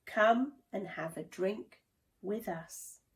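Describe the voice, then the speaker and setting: A woman's voice: medium pitch, takes it slowly. A woman voicing a friendly, welcoming female animal in a children's storybook, earnest and inviting.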